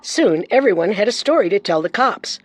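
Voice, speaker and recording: A woman's voice: medium pitch, animated, a little fast. A woman hosting a true-crime show with scripted narration. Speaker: host and narrator.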